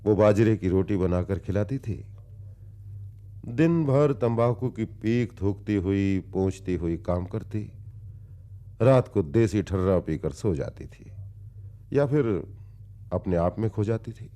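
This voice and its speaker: An actor playing a world-weary, philosophical wandering man who recounts his past in formal, deliberate radio-drama storytelling with long pauses. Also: on the low side, lively intonation, mellow. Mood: reflective, resigned.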